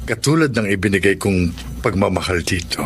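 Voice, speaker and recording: A man's voice: sits low, animated, fairly quick, soft background music. A man playing a kindly old grandfather and devoted shop owner in a Filipino radio drama, earnest and fatherly.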